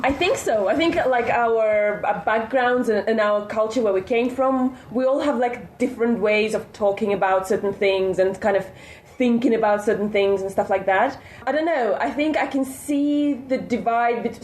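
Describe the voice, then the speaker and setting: A woman's voice: a little high, moderate pace, darkish tone, echoey room, slight background noise. A woman from Latvia, a podcast co-host, speaking bluntly and directly in a casual chat. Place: conference venue.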